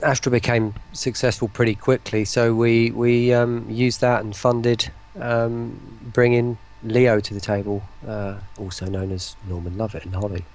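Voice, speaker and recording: A man giving informal, halting answers as a guest in a casual chat. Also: mid-range voice, flat delivery, darkish tone. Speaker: interview guest, voice pack producer.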